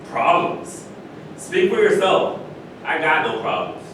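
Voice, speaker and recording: A man's voice: very animated, takes it slowly. A man reading the part of a very tall, boastful, self-assured retired sports star in a staged play. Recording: distant echoey stage recording, rumbling noise.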